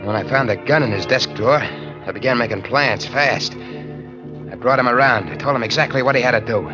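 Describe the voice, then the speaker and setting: An actor playing a paranoid, gun-wielding killer in 1940s radio crime style, talking in tough slang. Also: male voice, medium pitch, moderate pace, lightly acted. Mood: tense recounting. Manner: slangy, tough-guy talk with double negatives. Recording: dull old radio recording with music.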